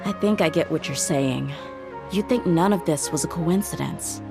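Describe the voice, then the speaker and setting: A woman's voice: sits low, moderate pace, creaky. An actress playing a tough young female mercenary bodyguard who talks frankly and casually, with faint music behind.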